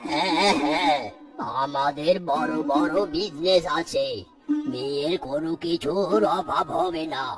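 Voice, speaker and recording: A woman's voice: deep voice, measured pace. A woman voicing a boastful, greedy animal parent of a groom in a fairytale cartoon, in a wheedling manner. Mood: smug, wheedling. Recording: loud music under the voice.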